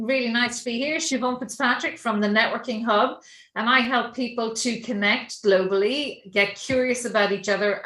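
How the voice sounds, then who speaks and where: A woman's voice: mid-range voice, a bit bright. Polished woman on a roomy call. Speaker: networking consultant.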